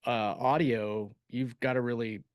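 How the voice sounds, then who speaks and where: A man in a casual podcast discussion about the craft of writing, recorded close and dry. His voice sits at a middle pitch. He thinks aloud as he goes, halting and searching for the right word. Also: steady tone, smooth, no room sound. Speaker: podcast host and science fiction author.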